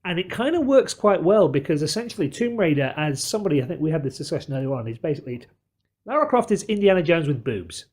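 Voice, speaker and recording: A man's voice: on the high side, very animated, fast talker, darkish tone. A man hosting a podcast discussion of films and games, talking in a wordy, analytical way. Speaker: podcast host. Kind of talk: film and game discussion.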